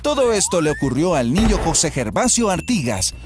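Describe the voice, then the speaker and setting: Man, scripted storybook narration, expressive, animated intonation, slightly high pitch, children's cartoon. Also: fairly quick, music in the background. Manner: expressive, storytelling delivery with repeated refrains.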